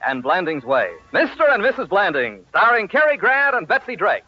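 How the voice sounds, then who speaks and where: A man's voice: high voice, very animated, normal speed. A man announcing and narrating a vintage radio comedy in a scripted style. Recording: muffled old radio recording, faint noise.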